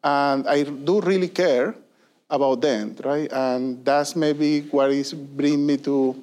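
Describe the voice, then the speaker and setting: A man's voice: medium pitch, fairly even intonation, takes it slowly, darkish tone. A man, a guest answering interview questions earnestly, with many fillers and tag questions. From Venezuela. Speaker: guest, industry consulting leader.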